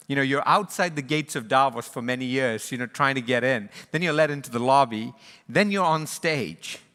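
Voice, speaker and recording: A man's voice: medium pitch, pushed and bright, big-room sound. A man moderating a panel and giving closing remarks, earnest and reflective, with frequent restarts. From born in Sri Lanka, raised in East and West Africa. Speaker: panel moderator, conservation charity leader.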